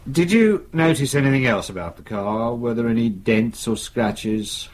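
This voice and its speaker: An actor playing a patient, probing British police superintendent in a radio crime drama, with measured, courteous questioning. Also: male voice, mid-range voice, expressive, slight background noise, lightly acted. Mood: patient, insistent.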